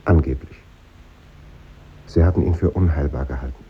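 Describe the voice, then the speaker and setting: An actor playing a middle-aged former pupil, narrating in a measured, melancholy way in a 1950s German radio play. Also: male voice, extremely low pitch. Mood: melancholy, grave. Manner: measured, pensive. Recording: dull, noisy 1950s radio recording.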